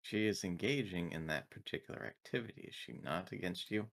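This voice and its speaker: A man voicing a formal, literal, loyal android aide with stilted, deliberate phrasing. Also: deep voice, expressive, creaky, a bit bright, exaggerated voice. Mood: measured, formal.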